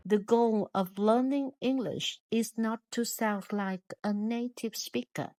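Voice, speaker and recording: A woman giving a prepared English lesson, speaking in a slow, deliberate and measured way. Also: on the high side, steady tone, warm tone, no echo. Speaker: English teacher.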